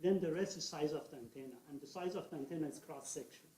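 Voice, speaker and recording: A man's voice: a little high, fast, warm tone, poor audio. A man on a panel answering audience questions, restating them and explaining technical answers step by step. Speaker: panelist and session moderator.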